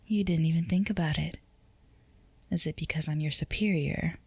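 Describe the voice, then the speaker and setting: A dominant, flirtatious female boss, acted by a woman in a roleplay ASMR drama. Her voice is low and soft, dipping into whispers, and recorded very dry and close. She sounds teasing, self-assured and used to being obeyed. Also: steady tone, moderate pace, slightly rough, dry recording.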